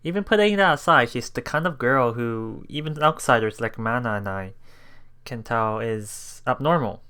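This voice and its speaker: A let's play host casually commenting on a visual novel and reading its lines aloud in a halting, chuckling way. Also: mid-range voice, lively intonation, pushed and bright, smooth. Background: visual novel background music.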